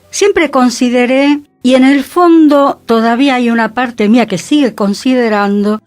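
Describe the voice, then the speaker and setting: Reflective Argentine woman, fairly high voice. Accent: Argentine, Buenos Aires. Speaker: radio show contributor. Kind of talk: reflective spoken radio commentary.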